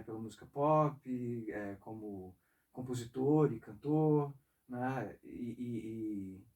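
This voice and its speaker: Man, forties, from northeastern Brazil, mid-pitched mellow voice, halting casual interview talk, slightly off-mic. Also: far from the mic. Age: 40s. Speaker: interview guest, saxophonist and composer. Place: music studio.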